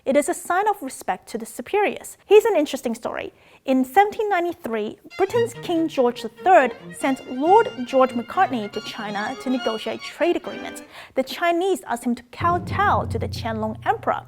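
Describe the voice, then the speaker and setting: A woman's voice: moderate pace, faint music behind. A woman from Macau, raised in Australia, hosting a scripted educational video about words and languages in an upbeat, playful way. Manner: upbeat, playful, with excited jumps in pitch.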